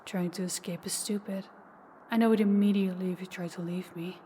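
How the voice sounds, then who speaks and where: A woman's voice: on the low side, steady tone, fairly quick, mellow, big-room sound, a little hiss or hum, natural voice. A woman playing a menacing, possessive ancient female vampire in an intimate audio roleplay, taunting and commanding, sometimes dropping toward a whisper. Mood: commanding, cold threat. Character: possessive, menacing older female vampire, toying with captive huntress.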